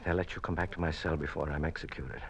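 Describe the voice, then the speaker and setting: An actor playing a weary, wry, defiant imprisoned senator in an old-time radio drama, speaking deliberately and with irony. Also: male voice, extremely low pitch, fairly even intonation, a little hiss or hum. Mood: earnest pleading. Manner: deliberate, hesitant, ironic. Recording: muffled old radio recording.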